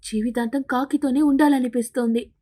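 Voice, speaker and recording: A high-voiced cartoon pigeon acted out by a woman, yearning and bossy. She speaks with insistent emphasis, as in an animated fable. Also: a little fast. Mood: lovesick. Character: lovesick, bossy female pigeon. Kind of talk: animated bird fable dialogue.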